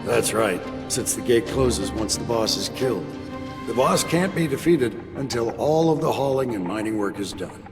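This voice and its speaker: An actor playing an easygoing, reassuring senior worker in a fantasy game, giving casual instructions. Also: male voice, mid-range voice, animated, moderate pace, big-room sound, over music, close to an everyday voice. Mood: assured, explaining. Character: easygoing, reassuring male mining team senior at work. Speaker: voice actor playing a supporting character. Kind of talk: English game cutscene acting.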